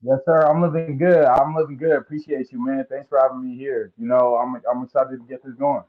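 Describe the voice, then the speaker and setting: A man's voice: medium pitch, flat delivery, brisk. A young man from American Samoa, a football recruit, talking in a laid-back way and using many fillers in an interview. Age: young adult. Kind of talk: casual interview. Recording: tinny phone-like line.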